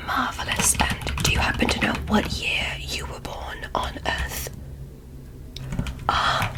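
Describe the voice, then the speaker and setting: A woman playing a polite, curious female alien medical examiner in an ASMR roleplay, in a soft breathy whisper with formal, stilted phrasing. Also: on the high side, rough recording. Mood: calm, formal. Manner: formal, oddly stilted, deliberate, reassuring.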